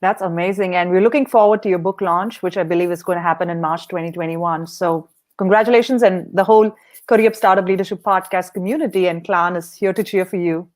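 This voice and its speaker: A woman's voice: fairly low pitch, steady tone, quick pace, darkish tone. A woman hosting a leadership podcast interview, upbeat and encouraging as she asks questions. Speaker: podcast host.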